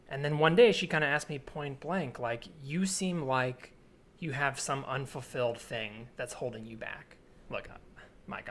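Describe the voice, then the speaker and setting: A man improvising an earnest, obsessive man in his twenties in a naturalistic comedy scene, speaking haltingly with pleading intensity. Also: mid-range voice, bright, forceful tone. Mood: hesitant, confessional. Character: earnest obsessive 27-year-old man haunted by flip-flops. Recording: remote recording with added ambient soundscape noise.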